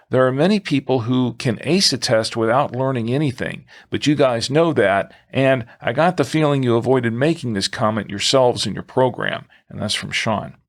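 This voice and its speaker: A man hosts a conversational podcast in a medium-pitched voice. His delivery halts and pauses often as he moves through listener mail and show business. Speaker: podcast co-host.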